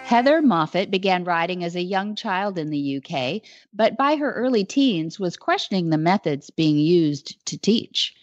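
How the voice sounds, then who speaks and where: A woman hosts an interview show in a fairly low voice, chatty and loose. The sound is dry and close with a dull, lo-fi edge. She talks easily between guest introductions. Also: animated, normal speed, no room sound, soft background music. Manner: chatty, with fillers and restarts. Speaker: radio show host. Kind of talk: casual interview. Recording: dull lo-fi audio.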